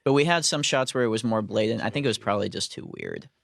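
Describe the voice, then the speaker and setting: Low-pitched man with a dry, gravelly edge. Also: expressive, fast talker, fairly bright tone, dry recording. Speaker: TV episode director on a cast commentary. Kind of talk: casual commentary chat.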